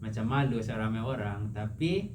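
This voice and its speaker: Calm male counselor, paraphrasing. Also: lowish voice, normal speed. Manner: calm, reflective, paraphrasing back. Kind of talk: counseling session. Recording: steady background noise.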